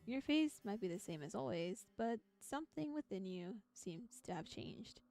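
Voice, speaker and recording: A woman's voice: fairly high pitch, expressive, moderate pace, vocal fry, darkish tone. A woman streaming a visual novel read-aloud, narrating the text and breaking off into chatty, giggly, playful asides. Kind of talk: narrated visual novel reading with casual live commentary. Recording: music behind the voice. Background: background music.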